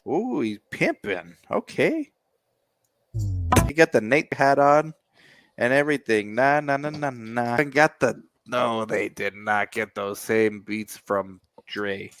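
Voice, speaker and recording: A man's voice: medium pitch, takes it slowly, a bit bright, dry recording. A man, co-hosting a casual music reaction show, joking and sarcastic as he rambles. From United States.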